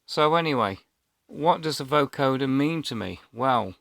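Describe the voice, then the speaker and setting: Male vlogger, 50s. Medium pitch, close dry sound, rambling and unscripted, feels his way through sentences. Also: expressive, measured pace, vocal fry, a bit bright, no echo. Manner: hesitant, rambling. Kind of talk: casual personal storytelling to camera.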